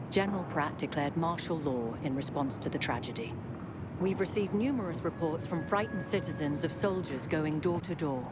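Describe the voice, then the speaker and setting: Woman acting a grave, low-pitched radio news anchor. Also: heavy background noise, lightly acted. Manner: solemn, measured, emphatic delivery. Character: grave, principled female radio news anchor in a collapsing city. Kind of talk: scripted radio news bulletin. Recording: loud music bed under voice.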